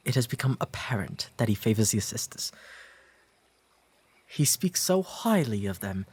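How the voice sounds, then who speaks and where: A man with an English accent playing a courteous, shy, bookish 19th century gentleman in a romantic audio drama, speaking formally and gently. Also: lowish voice, breathy edge, full character voice. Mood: earnest, reassuring. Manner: formal, polite old-fashioned diction with hesitant, gentle delivery.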